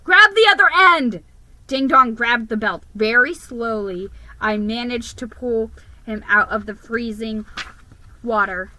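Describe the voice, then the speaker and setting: Slow, bright-voiced woman reading a story. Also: fairly high pitch, rough recording. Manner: deliberate, expressive, with stumbles over words. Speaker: storybook reader. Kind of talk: dramatic children's story reading.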